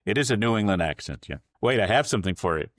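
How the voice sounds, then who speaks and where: A man's voice: fairly low pitch, animated, fast talker, dry recording. A male interview host asking questions in an easygoing, conversational manner. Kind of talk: casual interview chat.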